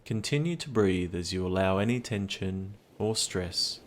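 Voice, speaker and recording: A man narrating a guided meditation in a gentle, soothing, unhurried manner. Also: low-pitched. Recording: clear steady background ambience noise, ocean sounds. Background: ocean sounds.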